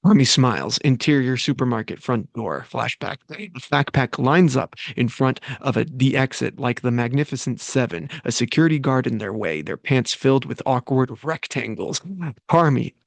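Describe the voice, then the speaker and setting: A man who wrote the script, reading its stage directions aloud in a plain, brisk manner. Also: mid-range voice, expressive, creaky, dry recording.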